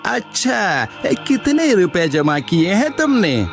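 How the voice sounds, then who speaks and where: Clear music plays behind a man playing a flattering village landlord in a cartoon moral tale. He speaks high for a man and draws his words out slowly, with lively swoops as he reassures in an ingratiating way. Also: exaggerated voice. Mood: curious. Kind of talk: Hindi cartoon moral-story acting.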